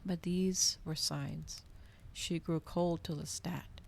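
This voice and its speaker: A woman reading a novel aloud in a soft, cozy ASMR style, at times slipping toward a whisper. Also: deep voice, fairly even intonation, slow pace, gravelly.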